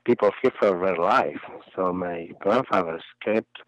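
A man in his forties from Palestine, a non-native English speaker, talking earnestly and haltingly in a phone interview. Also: low voice, no room sound. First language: Arabic. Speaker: interview guest. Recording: muffled phone recording.

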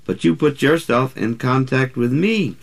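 A man's voice: medium pitch, measured pace, vocal fry, voice pushed up. A man voicing a large, stern, motherly woman in a story reading, scolding in folksy dialect. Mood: firm, motherly.

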